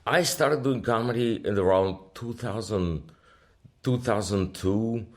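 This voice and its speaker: A man in his fifties from Ukraine with a Ukrainian accent, speaking as a guest comedian in a casual podcast interview, emphatic and halting in his phrasing. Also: lowish voice. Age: 50s.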